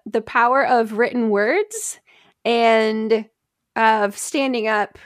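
A woman's voice: a little high, fairly even intonation, slow, no echo. Woman podcast storyteller, close dry sound. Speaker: podcast host telling a history story. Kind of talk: conversational storytelling among friends.

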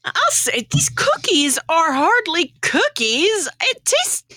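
A woman's voice: extremely high pitch, huge pitch swings, slow pace, pushed and bright, no echo, studio quality. A woman co-hosting a podcast in a comedic, theatrically exaggerated style. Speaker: podcast co-host. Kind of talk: comedic radio skit and casual chat.

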